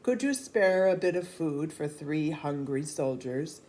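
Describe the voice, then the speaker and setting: Storybook soldier, played by a woman reader: hungry, hopeful man, declaiming boldly with animated pitch. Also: a little slow. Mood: polite, asking. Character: hungry, bold, hopeful marching soldiers, adult men. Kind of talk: storybook character reading.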